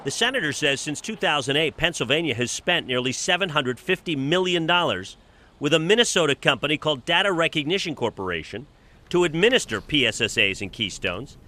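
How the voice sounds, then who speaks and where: A man's voice: a little high, expressive, brisk, bright, forceful tone. An American man working as an investigative reporter, delivering scripted broadcast news narration.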